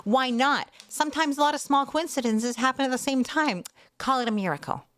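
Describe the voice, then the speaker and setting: A woman's voice: high-pitched, brisk, a bit bright, no echo, pitched above the performer's own voice. A woman voicing an agitated, stubborn teenage girl in a storybook reading, with an emphatic, dramatic manner. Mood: worked up.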